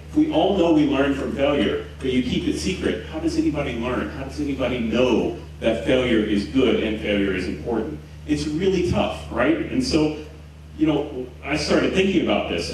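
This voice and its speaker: A man's voice: mid-range voice, slight background noise. A man in his forties from Houston giving a chatty, joking conference talk with lots of fillers and restarts, into a handheld mic in a festival tent. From Houston, Texas. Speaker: conference speaker. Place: large tent at an outdoor festival. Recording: roomy tent captured from a distance.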